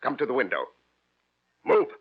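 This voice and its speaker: An actor playing a smug, sardonic, murderous crooked lawyer in a 1940s radio crime drama. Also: male voice, medium pitch, huge pitch swings, moderate pace. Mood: gloating menace. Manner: sardonic, sneering, mock-polite. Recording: dull vintage radio recording.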